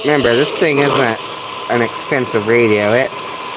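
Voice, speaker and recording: Casual man chatting over loud radio noise. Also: medium pitch, a little slow. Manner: informal, slangy, musing aloud. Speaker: livestream host, radio hobbyist. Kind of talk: casual live commentary while scanning shortwave. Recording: loud background noise, shortwave radio static. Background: shortwave radio noises.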